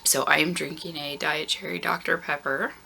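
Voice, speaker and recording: A woman in her thirties hosting a knitting video podcast in a casual, chatty way with lots of ums. She has a very low voice that sounds tired and groggy, recorded on a camera mic in a warm room at home. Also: normal speed, vocal fry, a little hiss or hum. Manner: relaxed and chatty, frequent ums. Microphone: camera microphone.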